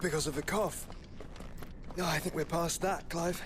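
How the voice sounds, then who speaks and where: A man playing an ailing but resolute younger brother in a dark fantasy game, gentle and weary. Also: on the high side, steady tone, normal speed, natural voice. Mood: weary, gentle resolve. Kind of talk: dark fantasy video game cutscene acting. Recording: noisy ambience behind the voice.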